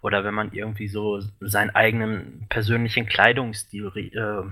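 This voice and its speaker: A man talks earnestly in a casual podcast chat, and his thoughts spill out in long, rambling runs full of fillers. His voice sits a little low but has a bright, forceful edge. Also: level tone, moderate pace.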